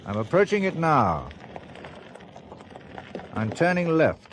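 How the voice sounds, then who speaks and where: Brisk, animated male spy. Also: a little high, a little hiss or hum. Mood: tense, watchful. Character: alert, determined male spy, turning tough under pressure. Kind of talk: radio spy drama acting.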